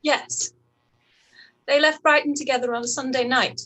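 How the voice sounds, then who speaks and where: A woman acting a distressed, proud young gentlewoman in a period radio play, with emotional, halting delivery. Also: sits high, steady tone. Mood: anguished, despairing.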